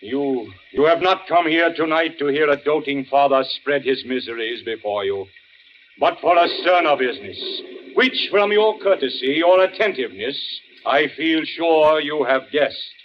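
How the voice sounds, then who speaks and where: A man's voice: on the high side, expressive, natural voice. Man acting a grandiose, half-mad old tragedian. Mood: menacing, accusing. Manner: declamatory, grandiose, dramatic pauses. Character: theatrical grieving old actor father, half-mad, accusing. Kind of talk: 1940s radio acting. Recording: muffled, noisy old radio recording.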